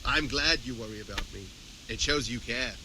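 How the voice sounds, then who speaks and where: An actor playing a boastful, flirtatious medieval rogue in a video game, teasing and playful. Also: male voice, mid-range voice, a little fast, noisy background, natural voice. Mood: flirty. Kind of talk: acted game dialogue.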